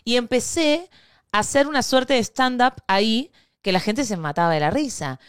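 Podcast co-host, Argentine woman in her 30s, animated storyteller, dry close mic. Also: fairly high pitch, normal speed, clean tone, dry recording. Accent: Argentine Spanish. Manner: animated storyteller, acts out quotes, laughs often. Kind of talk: casual chat.

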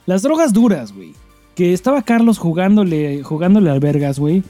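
Mexican guy podcast host, kinda high mellow voice, crude slangy joking, dry sound w some music behind. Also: sing-song, brisk, no room sound. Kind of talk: casual chat and listener mail reading.

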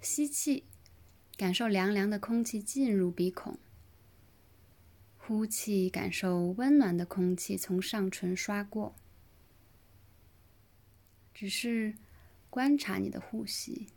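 A woman leading a guided relaxation meditation in a gentle, soothing manner, with pauses between short phrases. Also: medium pitch, creaky. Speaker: yoga nidra meditation guide.

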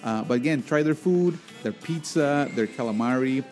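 A man's voice: medium pitch, normal speed, darkish tone, faint music behind. Joking man hosting a podcast. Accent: Spanish. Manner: joking, playful, with restarts. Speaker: podcast host. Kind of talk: casual banter. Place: restaurant.

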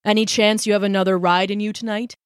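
Woman reading storybook father, an old soldier colonel: gruff, calm, in command. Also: medium pitch, fast talker, no echo, studio quality, natural voice.

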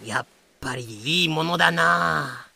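Roguish, laid-back pirate captain played by a man, audio drama; heavy gravel, hard bright edge. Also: a little high, a little slow, exaggerated voice. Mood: wry, relaxed. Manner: drawling, casual, rough-spoken. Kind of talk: amateur audio drama acting.

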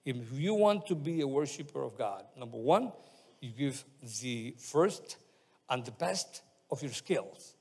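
A man with a heavy Spanish accent preaching a sermon as pastor, speaking haltingly with many restarts, fillers and amens. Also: medium pitch, expressive, darkish tone, breathy edge. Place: church sanctuary. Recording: long reverb of a church hall.

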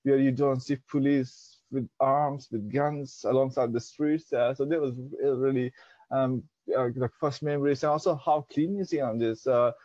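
A man's voice: mid-range voice, fairly even intonation, moderate pace, dark tone, no room sound, muffled recording. A young man from Pakistan whose first language is Dari, telling his story as an interview guest, hesitant with many fillers. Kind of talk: reflective personal storytelling.

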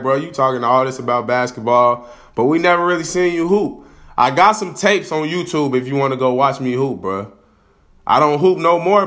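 Young male podcast host, swaggering and slangy, voice hoarse from a cold; bright, forceful tone. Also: on the high side. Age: young adult. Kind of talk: casual vlog-style podcast talk. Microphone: camera.

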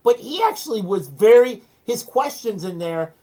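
A man's voice: sits high, lively intonation, normal speed, dark tone. An American man, a small business owner, talking casually as an interview guest in a chatty, anecdotal, self-deprecating way.

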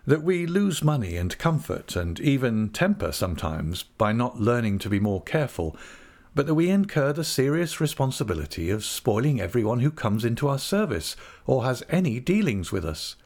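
A man playing an earnest young husband in an audiobook, gently coaxing and lecturing with grave reason. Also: medium pitch, sing-song, moderate pace. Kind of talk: audiobook character voice.

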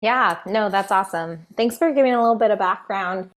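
A woman's voice: mid-range voice, brisk. A woman hosting a podcast interview, upbeat and enthusiastic as she introduces and questions her guest. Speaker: podcast host.

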